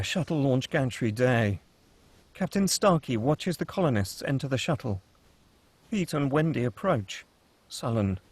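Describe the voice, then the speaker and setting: Brief: table read narrator, matter-of-fact, husky voice. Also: male voice, mid-range voice, dry recording. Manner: steady, matter-of-fact reading. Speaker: narrator reading stage directions.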